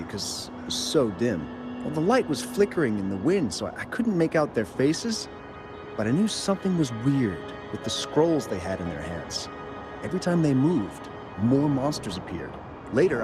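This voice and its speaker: An actor playing a friendly, excitable miner who chattily recounts what he witnessed in a video game. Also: mid-range voice, moderate pace, lightly acted. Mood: spooked, eager. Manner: animated, chatty, hesitant in places. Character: friendly excitable male miner, eager eyewitness. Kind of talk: video game story dialogue. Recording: music clearly behind the voice.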